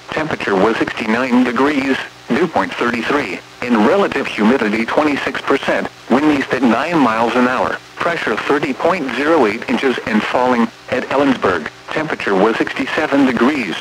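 Computerized american guy reading weather bulletins, formal n impersonal, noisy weather radio sound. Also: mid-range voice. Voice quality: synthetic text-to-speech voice. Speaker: automated weather radio announcer. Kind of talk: scripted weather forecast reading.

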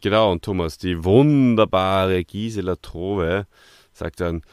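Man, lowish voice, podcast host, casual review chat, dry room sound. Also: measured pace, darkish tone, smooth, no room sound.

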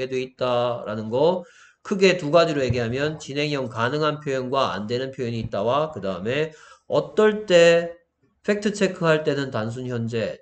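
A man teaching English grammar, explaining step by step and often asking questions to check understanding. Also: mid-range voice. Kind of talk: explanatory lesson with questions to students.

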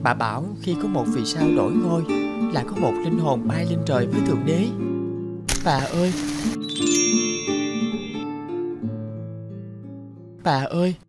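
A poor, freezing little street girl speaks in a plaintive, pleading way, as in an animated tale. Loud music plays behind the voice. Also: sits low, fairly quick. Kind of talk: animated storytelling drama.

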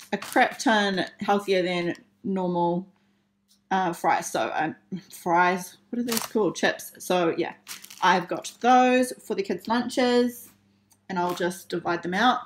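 A woman's voice: lowish voice, slow, low-quality recording. A young woman casually chatting through her grocery haul at a kitchen table, with lots of ums and uhs. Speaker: vlogger showing a grocery haul. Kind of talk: casual chat. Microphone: phone camera held over the table.

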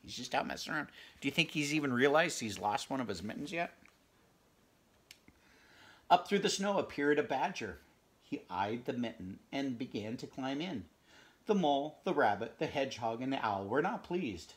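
A middle-aged man reading a picture book aloud at home, expressive, pausing to chat about the pictures and dropping into whispers. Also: mid-range voice. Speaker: read-aloud storyteller. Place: home room, indoors.

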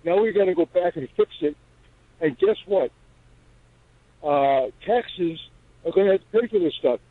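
A man's voice: high-pitched, lively intonation, normal speed, husky, dry recording. An older man phoning in to a radio show, speaking in a hesitant, folksy way over a telephone line. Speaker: radio phone-in caller. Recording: dull, dry telephone line.

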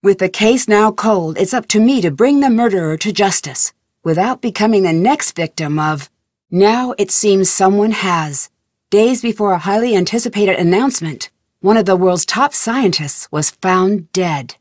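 A woman narrating a mystery game trailer in a dramatic, measured voice. Her pitch sits in the middle. The sound is dry and close. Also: animated, darkish tone, no echo.

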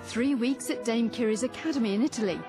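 A woman's voice: fairly high pitch, fast. A woman television reporter reading scripted narration. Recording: music under the voice. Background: classical music.

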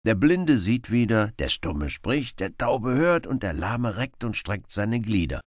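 A man's voice: on the low side, big pitch swings, a little slow, dry recording, studio quality, voice pushed up. A man playing a bold, cheerful, teasing male outlaw hero in a storybook reading.

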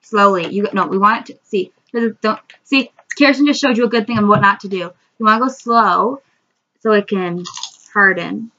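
A woman's voice: medium pitch, moderate pace, sharp tone, lo-fi sound. A teenage girl casually reviewing a toy in her bedroom, explaining each step in a chatty way, recorded on a webcam microphone. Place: bedroom, indoors, seated on the floor. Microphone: built-in webcam mic.

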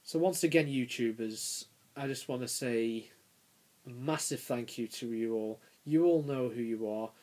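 A young man around nineteen giving a casual, unscripted review as a video creator, halting and pausing mid-phrase. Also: medium pitch, a little mellow. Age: young adult, about 19. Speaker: YouTube toy reviewer. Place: small bedroom, indoors. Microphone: handheld camera's built-in mic.

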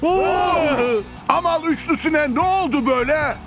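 A man's voice: extremely high pitch, unhurried. A man voicing a frantic, theatrical football commentator in a cartoon, full of exclamations. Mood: thrilled. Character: frantic, over-excited male football commentator. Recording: loud music behind.

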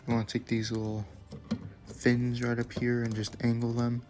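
A man's voice: mid-range voice, completely flat delivery, normal speed. A young man casually showing off a toy he built, giving step-by-step instructions with small asides and self-corrections. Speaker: toy builder reviewing his creation. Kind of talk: casual hands-on toy review narration. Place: basement room indoors. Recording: music playing in the background.

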